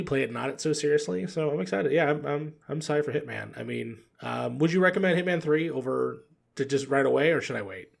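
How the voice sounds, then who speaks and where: A man chatting casually as a podcast guest, telling rambling stories in slangy language with a gravelly, husky voice. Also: on the high side. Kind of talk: casual gaming chat.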